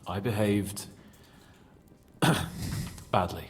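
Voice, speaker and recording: An actor playing a cocky young nobleman in a video game, lively and quick to take offence. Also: on the low side, slight background noise. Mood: sheepish banter. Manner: lively, indignant, shouts when riled.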